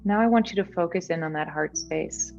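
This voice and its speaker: A woman guiding a meditation in a gentle, soothing, unhurried manner. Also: on the low side, gravelly, lo-fi sound. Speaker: meditation guide. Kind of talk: guided meditation. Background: background music.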